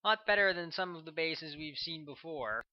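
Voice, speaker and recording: A man's voice: a little high, normal speed, pitched above the performer's own voice, natural voice. A plain-spoken woman agent, read by a male narrator, casual and full of questions. The audiobook recording is dull and lo-fi. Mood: impressed.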